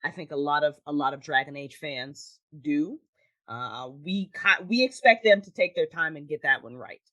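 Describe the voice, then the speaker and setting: A woman chatting casually as an interview guest, animated and enthusiastic like a devoted fan. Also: deep voice, normal speed. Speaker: podcast guest, writer and audio drama creator.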